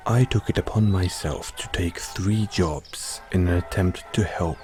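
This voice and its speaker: A man's voice: sits low, measured pace, warm tone, soft background music. A man playing a devoted, formal butler with a painful past, speaking solemnly and deferentially in a scripted audio roleplay. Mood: solemn, restrained.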